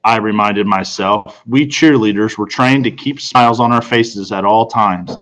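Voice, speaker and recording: A man's voice: on the low side, unhurried, a bit bright, low-quality recording. A man reading scripted children's fiction aloud as an audiobook narrator.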